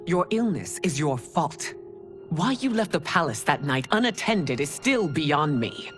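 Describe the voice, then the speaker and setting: A stern, regal empress with sweeping delivery. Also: female voice, deep voice, very animated, soft background music. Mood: cold, reproachful. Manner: formal, commanding, measured. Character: stern, regal middle-aged empress and mother. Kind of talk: dramatic video game cutscene acting.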